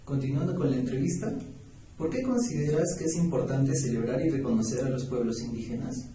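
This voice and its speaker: A young man of twenty with a southern Peruvian accent presents a podcast from a script. His tone is very mellow. He sounds a little far from the mic, in a strongly reverberant room. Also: medium pitch, brisk. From Juliaca, Puno, Peru. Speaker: podcast co-host. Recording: echoey room, distant mic, faint noise.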